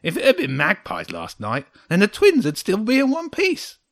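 Cranky old hedgehog for a kids story, gravelly but high, huffy and mocking, super dry sound. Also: male voice, huge pitch swings, normal speed, no room sound, exaggerated voice. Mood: scornful. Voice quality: high-pitched, creaky. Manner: indignant, spitting, mocking, exclamatory. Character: grumpy, defensive little old male hedgehog guard, scornful and ranting. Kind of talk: children's audiobook character acting.

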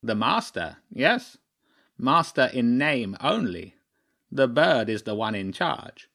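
A man's voice: mid-range voice, big pitch swings, slow pace, creaky, pushed and bright, heavily acted. A man voicing a stern, shrewd old crow chairman in a storybook, speaking formally and pompously. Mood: shrewd, measured. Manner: pompous, clipped, formal pronouncements.

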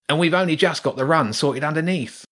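A man's voice: fairly high pitch, brisk, fairly bright tone, pitched above the performer's own voice. A man voicing a greedy, pushy male rat workman in a children's storybook, with a cocky, wheedling manner.

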